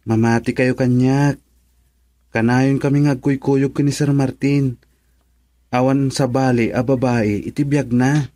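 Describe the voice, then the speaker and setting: An actor with a Filipino accent playing a polite, hesitant, bashful driver in a radio drama. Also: male voice, medium pitch, steady tone, a little slow, dark tone, no echo, close to an everyday voice. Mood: nervous, deferential. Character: deferential, bashful male driver of a rich couple.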